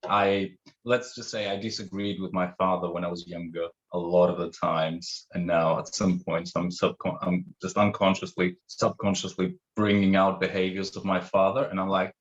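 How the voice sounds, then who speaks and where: Easygoing guy from greece hosting a chat, low voice, kinda dull lo-fi audio. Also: little pitch movement, normal speed, few pauses. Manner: laid-back, laughs easily. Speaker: interview host. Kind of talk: casual interview chat. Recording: dull lo-fi sound.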